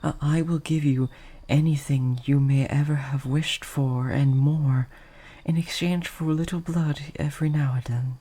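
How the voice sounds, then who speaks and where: Someone acting out a breathy, soft-spoken, courtly vampire. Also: medium pitch, fairly even intonation, moderate pace, natural voice. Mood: pleading, devoted. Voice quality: soft, breathy, often dropping toward a whisper. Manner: formal, old-fashioned, hesitant, earnest. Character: gentle, courtly, lovesick, possessive vampire pleading with a donor. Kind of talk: soft-spoken intimate audio roleplay monologue.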